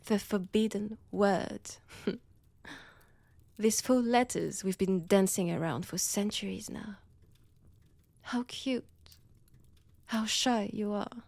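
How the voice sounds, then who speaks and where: Woman playing a slow, whispering French-accented vampire seductress. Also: mid-range voice, darkish tone, dry recording. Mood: teasing, mocking. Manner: slow, taunting, theatrical, dropping often into breathy whispers. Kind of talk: intimate scripted roleplay audio drama, often whispered.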